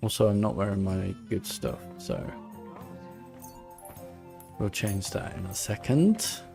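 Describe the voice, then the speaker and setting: Male game streamer, low and unhurried, casually thinking aloud over faint game music. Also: lively intonation, creaky. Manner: muttering to himself, thinking aloud. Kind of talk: casual playthrough commentary.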